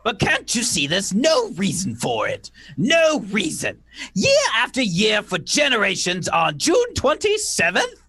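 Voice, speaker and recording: A man's voice: sits high, huge pitch swings, a little slow, sharp tone, breathy edge, dry recording, heavily acted. A man playing a defiant schoolmaster who argues insistently for reason in an amateur radio play. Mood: impassioned pleading. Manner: impassioned, insistent. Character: defiant, reasoning schoolmaster pleading against village tradition.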